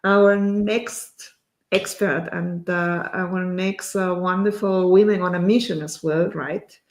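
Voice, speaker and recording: Female stream host, halting and rambling delivery, echoey room sound. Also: lowish voice, fairly even intonation. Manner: halting, loosely built phrasing. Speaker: host of a livestream. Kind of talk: live stream interview.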